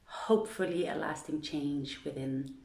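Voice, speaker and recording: A woman's voice: low-pitched, fairly even intonation, unhurried, vocal fry, darkish tone, roomy sound. A woman hosting an online story reading, speaking to listeners and reading literary tales aloud. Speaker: reader of stories to an online audience.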